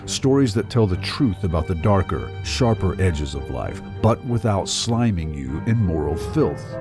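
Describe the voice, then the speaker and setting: A man with a fairly low voice delivers a scripted promo as an announcer. Music plays clearly behind him. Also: sing-song, measured pace. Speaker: advertisement announcer.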